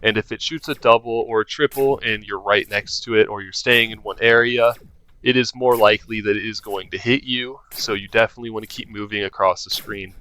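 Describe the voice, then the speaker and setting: A man's voice: lowish voice, fairly even intonation, a little fast, bright, forceful tone. A man gives casual, informal commentary for a video game walkthrough, talking directly to viewers. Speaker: gameplay guide narrator.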